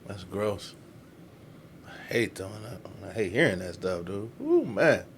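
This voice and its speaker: A man's voice: fairly low pitch, big pitch swings, measured pace, noisy background. A man giving casual, slangy reaction commentary as the host of the video. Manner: colloquial, slangy.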